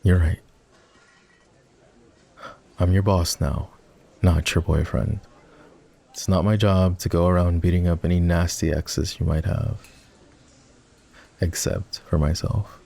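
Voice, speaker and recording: Male actor for remorseful ex-boyfriend boss in ASMR romance audio: mellow, husky man's voice, tender, halting and confessional. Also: flat delivery, exaggerated voice. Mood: gently teasing. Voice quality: husky, gravelly low voice. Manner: soft, hesitant, confessional. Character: regretful, tender ex-boyfriend turned office boss. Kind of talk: ASMR boyfriend roleplay, intimate scripted monologue.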